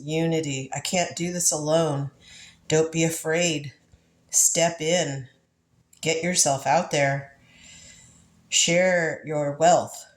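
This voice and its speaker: Stuffy-nosed woman in her 50s, low voice, rambly tarot chat, lots of little laughs. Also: roomy sound. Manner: musing, laughs often, repeats words. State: congested from the flu. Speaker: tarot reader. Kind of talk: casual spiritual talk while pulling tarot cards.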